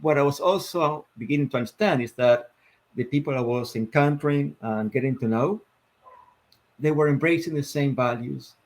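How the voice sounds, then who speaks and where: A man in his fifties from Argentina with an Argentine Spanish accent, a guest telling his life story in a reflective, measured way with many fillers. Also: medium pitch, darkish tone. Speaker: guest, sports psychologist. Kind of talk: interview storytelling.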